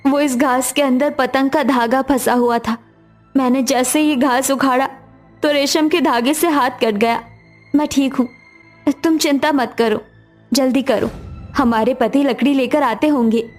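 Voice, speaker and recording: A devoted, hopeful young daughter-in-law from a poor cartoon household, played by a woman, speaks in a highish voice. There is music behind her lines. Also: fairly even intonation, a little slow. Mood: concerned. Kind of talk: cartoon dialogue acting.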